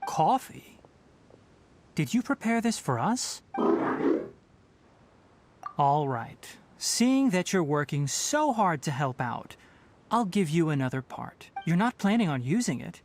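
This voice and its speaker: A male actor playing a thoughtful, principled young forest ranger in a video game, speaking in a measured, articulate way. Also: fairly high pitch, big pitch swings, loud music behind the voice, exaggerated voice. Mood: calm, kindly. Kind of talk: video game dialogue acting.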